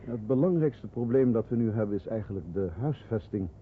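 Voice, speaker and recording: An actor in a radio drama playing a courteous, helpful community representative with a polite, hesitant, businesslike manner. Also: male voice, lowish voice, expressive, a little fast, natural voice. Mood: practical. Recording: tinny radio recording with clear noise.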